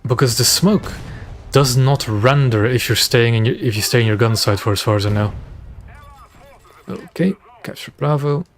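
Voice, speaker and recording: A Dutch-speaking man from West Flanders with a Flemish lilt on his English, talking through a game stream. His delivery is loose and rambling, full of restarts as he juggles gameplay calls and viewer questions. His voice sits at an ordinary middle pitch. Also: moderate pace. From West Flanders, Belgium. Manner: relaxed, rambling, answers chat between game calls. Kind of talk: casual gameplay stream commentary.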